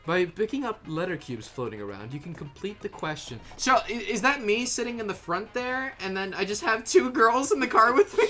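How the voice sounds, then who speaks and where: A person chatting casually while playing a game and reading out its text, animated, sweary and easily amused. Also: medium pitch, fairly quick, hardly any pauses, soft background music. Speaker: player and fan dub voice reader. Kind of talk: casual gaming chat mixed with reading tutorial text.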